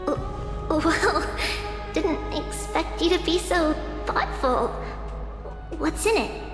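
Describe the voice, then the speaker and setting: A woman voicing a gentle, caring and teasing girlish big-sister character in a video game, speaking affectionately and without hurry. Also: very high voice, lively intonation, takes it slowly, full character voice. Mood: surprised, amused. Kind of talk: English video game voice acting. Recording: echoey hall reverb under music.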